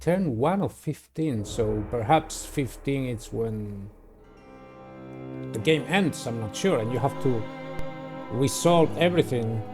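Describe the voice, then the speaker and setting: A man's voice: medium pitch, animated, slow pace, music in the background. A man in his thirties or forties hosting a video game playthrough, giving commentary and reading text aloud. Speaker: gaming channel host.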